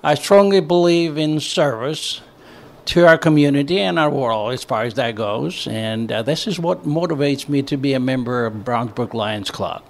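Older male guest, Greek accent, gravelly middle register, earnest conversational answers. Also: medium pitch, normal speed. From northern Greece. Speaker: interview guest, community club member.

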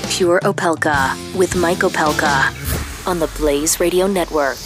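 A woman announcer's station identification, polished and lowish in pitch for a woman. Music plays clearly under her voice. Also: unhurried.

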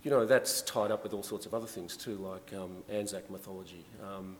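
Male panelist, lowish voice, relaxed and chatty, hall reverb with faint noise. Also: brisk. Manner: relaxed, conversational, frequent fillers. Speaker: journalist and essayist on panel. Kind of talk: panel discussion.

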